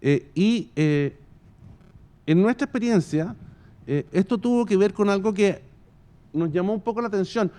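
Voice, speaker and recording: A Chilean man giving a semi-improvised conference talk in a halting, thoughtful way, often pausing mid-phrase. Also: high-pitched, warm tone. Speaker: podcast company founder giving a presentation.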